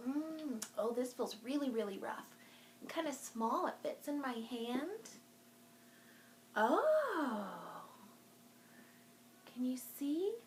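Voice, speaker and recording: A woman's voice: sits high, sing-song, slow pace, long pauses, a little hiss or hum. A woman speaking to young children as a storytime host, in a sing-song, encouraging way, showing and explaining things. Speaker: children's librarian hosting a virtual storytime. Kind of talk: talking to young children in a craft and show-and-tell video.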